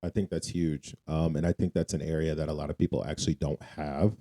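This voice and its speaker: A man with an extremely low voice and a very dark, mellow tone co-hosts a faith podcast. He speaks informally and casually, repeating phrases for emphasis. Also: fairly even intonation, fairly quick. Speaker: podcast co-host. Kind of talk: casual faith-focused conversation.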